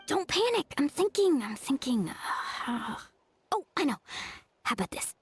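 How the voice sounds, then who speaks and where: A woman voicing a confident, lively young woman in an anime-style game. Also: sits high, unhurried, faint music behind. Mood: flustered.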